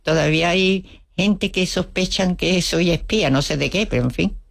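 Older woman, low voice, Andalusian-accented Spanish, Russian native, lively storyteller, dry close sound. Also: moderate pace, vocal fry, dry recording. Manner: vivid storyteller, acts out quotes, wry humor. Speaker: interview guest. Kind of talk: storytelling reminiscence.

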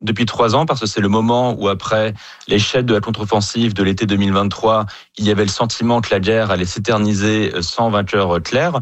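Low-voiced Frenchman giving expert analysis. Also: steady tone, fairly quick, no echo. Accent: French from France. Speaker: geopolitics professor, expert guest. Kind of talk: analytical interview answers.

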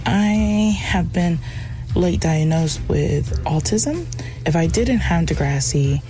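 A woman's voice: fairly low pitch, animated, slow pace, dark tone, faint music behind. A woman fan sincerely describing how a show helped her socialize. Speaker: fan sending a voice memo.

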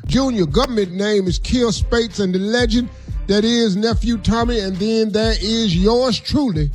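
A man's voice: high-pitched, measured pace, faint music behind. A man hosting a morning radio show, urging listeners to be grateful and calling them "man". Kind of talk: casual radio talk.

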